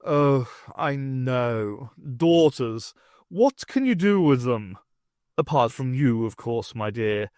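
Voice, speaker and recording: A man's voice: mid-range voice, very animated, darkish tone, no room sound, studio quality. A man voicing a moody king who growls and mocks cruelly, then turns to self-pity. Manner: growling, mocking, slow and drawn out.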